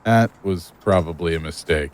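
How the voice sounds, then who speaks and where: A man's voice: sits low, moderate pace, close to an everyday voice. An actor playing an earnest, troubled man with a hesitant, pleading manner in an audio drama. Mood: uneasy. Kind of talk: horror audio drama acting.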